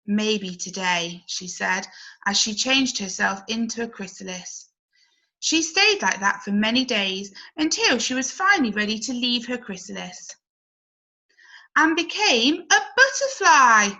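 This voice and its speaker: A woman reading a children's story aloud expressively, in an animated way aimed at young listeners. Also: fairly high pitch, poor audio. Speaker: primary school storyteller.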